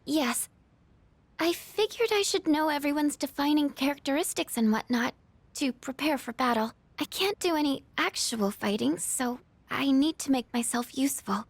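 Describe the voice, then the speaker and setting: A shy teenage schoolgirl in an anime-style game. Her voice is very high and gentle, with polite, earnest phrasing. It is recorded dry and close. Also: animated, moderate pace, dry recording.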